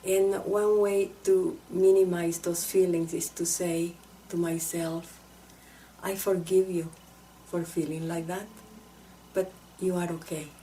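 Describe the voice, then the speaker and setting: Slow, mellow woman. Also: on the low side, level tone, a little hiss or hum, rough recording. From El Salvador. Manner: halting, reflective, earnest. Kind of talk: personal testimony to camera.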